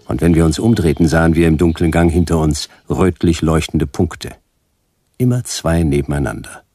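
A world-weary, hard-boiled detective with a very deep, gravelly voice. He is terse, wry and deadpan, narrating a sci-fi radio play over clear background music. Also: full character voice. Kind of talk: radio drama narration and dialogue.

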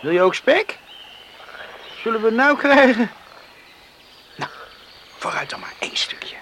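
An actor playing an eager, jealous, lovestruck country lad in a Dutch radio drama, pleading and insistent in a boyish way. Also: male voice, extremely high pitch, big pitch swings, a little slow, sharp tone, a little hiss or hum, heavily acted. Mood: coaxing, waiting.

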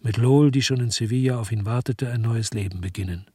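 An actor playing a weary, dogged French detective who narrates his noir radio crime story in a measured, laconic way. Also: medium pitch, darkish tone, slightly rough, no room sound, lightly acted. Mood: brooding. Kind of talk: first-person narration in a noir radio drama.

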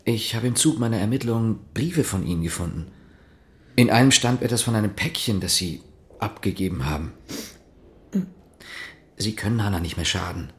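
A man's voice: on the low side, lively intonation, moderate pace, long pauses, slightly rough. An actor playing an earnest, dutiful East German policeman in a radio drama, questioning soberly and insistently. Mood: sober, probing.

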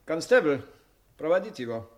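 An actor playing a self-assured, officious police inspector in a radio play, with a brisk, formal, smug manner. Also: male voice, a little high, big pitch swings, a little mellow. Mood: stern.

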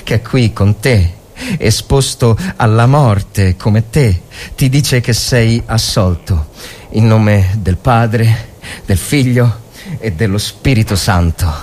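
A man's voice: on the low side, takes it slowly, darkish tone, a little hiss or hum. A man playing an agitated but compassionate army lieutenant, solemn and emphatic, in a dramatic war story reading. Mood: solemn, tender. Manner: impassioned, emphatic.

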